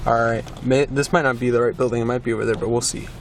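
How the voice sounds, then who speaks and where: Man, casual vlog chat, medium pitch, noticeable car and outdoor background noise. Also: steady tone, quick pace. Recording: noisy handheld outdoor and car recording.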